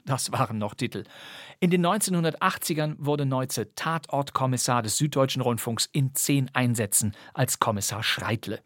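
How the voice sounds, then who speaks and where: A man's voice: medium pitch, no room sound, clean studio sound. A man hosting a podcast in scripted, presenter-style narration. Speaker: podcast host.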